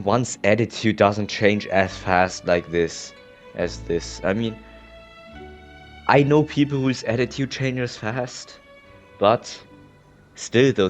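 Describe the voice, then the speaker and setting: A young man giving casual, sarcastic, exasperated commentary over gameplay. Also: lowish voice.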